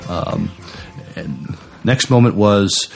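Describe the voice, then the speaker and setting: A man co-hosting a podcast in casual chat, halting but enthusiastic as he talks. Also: lowish voice, expressive, gravelly, soft background music. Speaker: podcast co-host.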